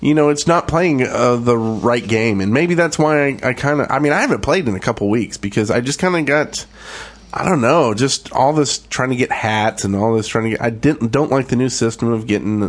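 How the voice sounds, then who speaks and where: A man talks casually as a podcast co-host. His voice has an ordinary middle pitch, and he speaks in a conversational way about games. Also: normal speed. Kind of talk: casual gaming podcast chat.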